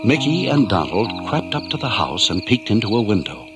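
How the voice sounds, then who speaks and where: A man's voice: fairly low pitch, very animated, a little fast. A man giving dramatic scripted narration of a children's story over music.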